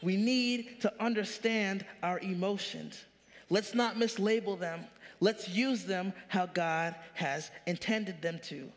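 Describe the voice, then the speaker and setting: Pastor, 30s, high-pitched male voice, animated sermon delivery, big reverberant hall. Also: slow pace. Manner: animated preaching, rising punchy questions. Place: large church stage.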